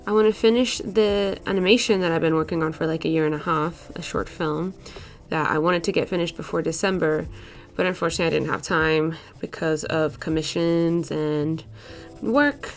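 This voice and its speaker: Low-voiced young woman chatting while sketching. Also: fairly even intonation, moderate pace, faint music behind. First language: Portuguese. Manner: chatty, rambling, fillers like "like" and "uh". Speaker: art vlogger. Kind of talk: casual voice-over while sketching.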